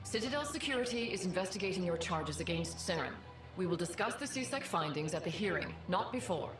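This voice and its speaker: Stern low-voiced female councilor played by a woman. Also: expressive, fairly quick, lightly acted. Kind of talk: scripted video game dialogue. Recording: echoey chamber, music behind.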